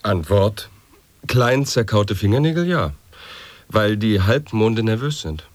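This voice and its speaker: A man's voice: on the low side, expressive, slow, no room sound. An actor playing an absurdly pedantic man, declaiming wordplay and grotesque nonsense in an avant-garde radio play.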